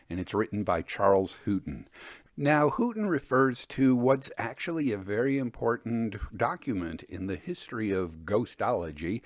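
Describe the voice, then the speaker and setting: A man hosting a storytelling show, reading scripted narration and old ghost tales in a measured, deliberate way. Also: on the low side, no room sound, clean studio sound. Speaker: podcast host and storyteller.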